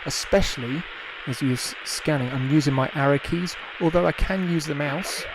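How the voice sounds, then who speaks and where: A man's voice: fairly high pitch, moderate pace, background noise. A man hosting a casual live demonstration, talking unscripted and thinking aloud, often repeating his phrases. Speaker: livestream host and hobbyist developer. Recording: loud music under the voice. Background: ambient music.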